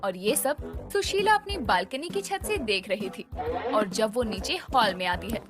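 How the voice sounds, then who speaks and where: High-pitched, animated woman storyteller. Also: unhurried, over music. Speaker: narrator. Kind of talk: scripted moral-story narration.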